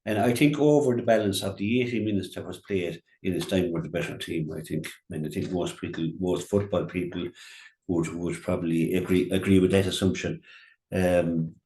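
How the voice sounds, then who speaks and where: A man with a County Clare Irish accent giving unscripted local radio commentary on Gaelic sport, haltingly, often repeating words and restarting. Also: deep voice, darkish tone, roomy sound, low-quality recording.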